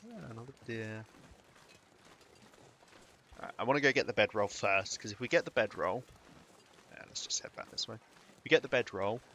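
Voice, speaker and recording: A man's voice: on the low side, animated, normal speed, long pauses, pushed and bright, a little hiss or hum. A young British man chatting casually while streaming a game, rambling and chuckling between remarks. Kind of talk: live gaming commentary.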